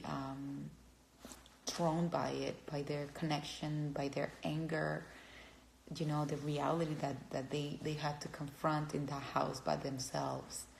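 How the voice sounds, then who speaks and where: Low gravelly Cuban-accented woman. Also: darkish tone, a little hiss or hum, low-quality recording. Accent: Cuban Spanish. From Cuba. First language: Spanish. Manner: halting, searching for words, gushing. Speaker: actor answering fans. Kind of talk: casual livestream chat. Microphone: phone.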